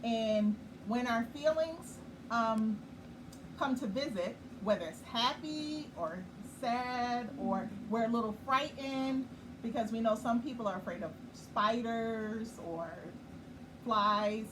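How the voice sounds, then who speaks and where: A woman hosting a nightly children's story time, reading a picture book slowly and gently and pausing to explain it. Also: fairly high pitch. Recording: far from the mic with clear background noise.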